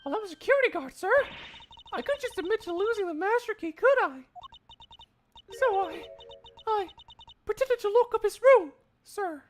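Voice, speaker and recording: A flustered, eager young woman guard from a detective game, chirping in a squeaky, soaring voice that leaps all over the place, as a man puts her on in falsetto. She gushes, apologizes and tumbles through her words in a live fan dub. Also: normal speed, pauses often, slight background noise. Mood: rueful. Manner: high, excitable, breathy falsetto. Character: clumsy, eager young female security guard. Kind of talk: live one-man fandub.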